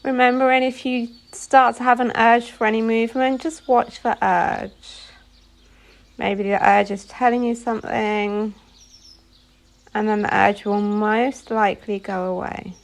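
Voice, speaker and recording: A woman guides a relaxation practice as a yoga instructor. Her voice sits a little high and has a marked creak to it. She gives soothing instructions in short phrases, leaving pauses between them. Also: flat delivery. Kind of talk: guided meditation.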